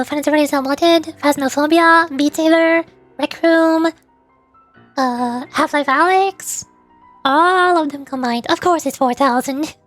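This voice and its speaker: Female VTuber host, high-pitched and chatty. Casual, rambling game-stream talk, faint music behind. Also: fairly bright tone.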